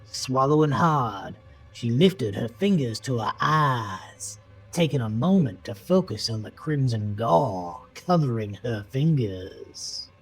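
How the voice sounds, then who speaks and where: A man narrating a scripted horror story in a dramatic, deliberate, suspenseful manner. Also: medium pitch, lively intonation, breathy edge, poor audio. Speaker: audiobook-style narrator. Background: music under the voice.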